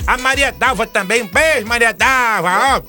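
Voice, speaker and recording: A man's voice: very high voice, moderate pace, over music. A man, co-hosting a local radio show, reading ads in a playful drawl and calling listeners pet names. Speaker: radio co-host. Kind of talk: lively radio ad banter.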